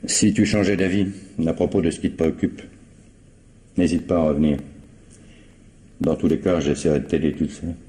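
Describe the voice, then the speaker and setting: A man acting a calm, wry, middle-aged police detective in a radio crime drama, measured and probing with hesitant pauses. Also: rumbling low, breathy edge. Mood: wry, concerned.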